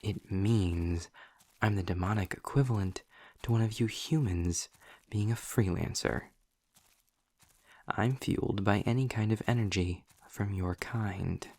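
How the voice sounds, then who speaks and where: A man's voice: measured pace, a little mellow, no room sound. A man playing a snarky, impatient male demon in an audio roleplay, speaking to the listener with theatrical, sardonic condescension. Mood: dry, condescending. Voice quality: low, gravelly, husky with breathy drops. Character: snarky, impatient male demon trapped in his own hell.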